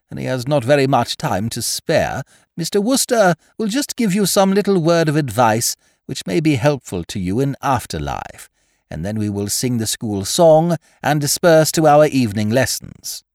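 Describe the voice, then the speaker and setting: A man's voice: medium pitch, expressive, normal speed, darkish tone, dry recording, clean studio sound, voice pushed up, close to an everyday voice. A man voicing a stern middle-aged schoolmistress who speaks in a cold, firm, clipped way. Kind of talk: audiobook character voice.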